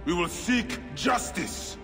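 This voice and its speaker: A man's voice: sits high, lively intonation, takes it slowly. A man playing a grieving, vengeful Egyptian warrior in a video game cutscene, intense and impassioned. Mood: anguished, resolute. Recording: music behind the voice.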